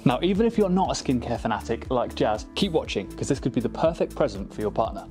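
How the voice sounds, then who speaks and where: A man's voice: medium pitch, big pitch swings, quick pace, darkish tone. A young man delivering a scripted sponsor plug to camera. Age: young adult. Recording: light background music.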